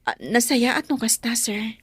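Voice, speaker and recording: A woman's voice: a little high, animated, unhurried, slightly rough, no echo. A woman playing an anxious young wife and mother in an Ilocano Filipino radio drama, with music behind her. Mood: worried, polite.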